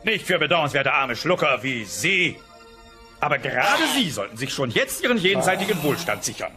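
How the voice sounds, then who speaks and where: A man's voice: fairly high pitch, very animated, normal speed, bright, forceful tone, faint music behind. A man playing a greedy, unctuous dinosaur TV salesman in a sitcom audio play. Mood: slick, selling. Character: slick, greedy dinosaur televangelist-style TV salesman. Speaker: TV presenter.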